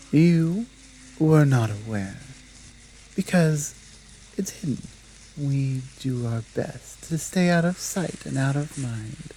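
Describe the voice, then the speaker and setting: A woman playing a stern, dominant female werewolf pack leader in an ASMR roleplay, speaking in a measured, commanding manner with deliberate pauses. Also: sits low, mellow, slight background noise, exaggerated voice, rough recording.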